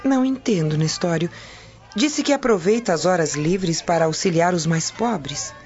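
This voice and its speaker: A woman's voice: on the low side, normal speed, darkish tone, soft background music, natural voice. An actress playing an inquisitive servant woman of ancient Rome with a theatrical, insinuating manner. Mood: probing, friendly. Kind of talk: radio drama acting. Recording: dull audio with crowd behind.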